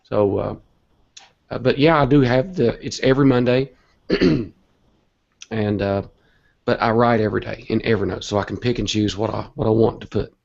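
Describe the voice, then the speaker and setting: A man with a cold and a hoarse voice, talking earnestly and a bit rambling as an interview guest. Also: lowish voice, moderate pace, long pauses, darkish tone. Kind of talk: casual online interview talk. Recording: dull lo-fi web call audio.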